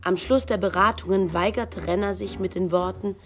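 A woman's voice: mid-range voice, steady tone, normal speed, soft background music. A woman narrating a scripted documentary in dialogue with a co-narrator.

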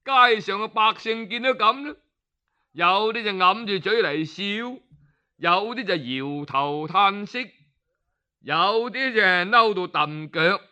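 A man with a Cantonese accent narrating a traditional story in a colloquial, expressive storytelling style. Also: sits high, takes it slowly, clean tone. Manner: colloquial, expressive, with sighs and exclamations. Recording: slightly dull, lo-fi audio.

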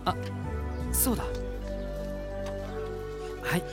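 A man playing a gentle, kind, modest young prince in a radio drama, soft-spoken and a little diffident. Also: drawn-out words. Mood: shy, warm. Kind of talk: Japanese radio drama acting. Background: prominent music.

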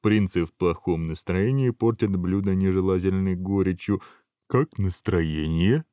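Man playing a huge homely ogre, low-pitched. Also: a little slow, mellow, dry recording, clean studio sound. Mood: coaxing, kindly.

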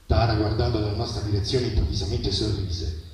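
A man giving an expressive literary reading of a first-person story, with measured, dramatic phrasing and pauses. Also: on the low side. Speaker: reader at a public literary reading. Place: live reading at an outdoor festival. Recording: very echoey, distant mic, noisy outdoor ambience.

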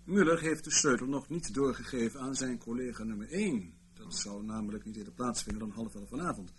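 A man's voice: mid-range voice, lively intonation, a little fast, slight background noise, natural voice. A man acting in a radio play as a wry, officious senior civil servant in intelligence, urbane and mock-regretful. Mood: smooth, persuasive.

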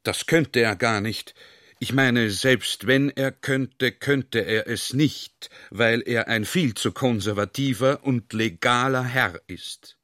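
An actor playing a courteous, cautious military general with formal, ornate politeness in a radio play. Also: male voice, mid-range voice, takes it slowly, pushed and bright, dry recording, clean studio sound. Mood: cautious, dismissive.

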